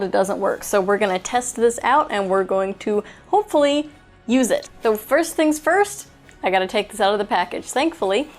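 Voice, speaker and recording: A woman giving a casual, unscripted product review as a host, chatting and thinking aloud as she handles items. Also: on the high side.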